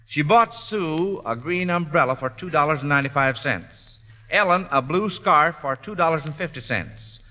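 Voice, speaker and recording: Male radio quizmaster, vintage style. High-pitched, reads questions aloud, dull old recording with long reverb. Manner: hesitant and filler-prone when interrupted. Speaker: quiz show host. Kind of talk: 1940s radio quiz hosting, reading listener questions.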